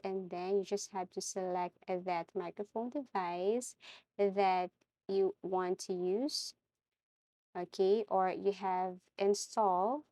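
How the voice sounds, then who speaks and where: A woman presents a software tutorial at an unhurried, slow pace. Her voice sits at a medium pitch and is recorded dry, with no room sound. She explains things step by step in an instructional manner, pausing to check that the listener follows. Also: no room sound. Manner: instructional, often checking in with "okay".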